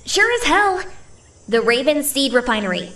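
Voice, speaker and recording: A woman's voice: high voice, very animated, normal speed, fairly bright tone. An actress playing a tough, confident female operative in a video game, speaking bluntly and assertively. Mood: brash, insistent. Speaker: voice actor in a game cutscene. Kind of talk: dramatic video game acting. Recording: clear background ambient noise.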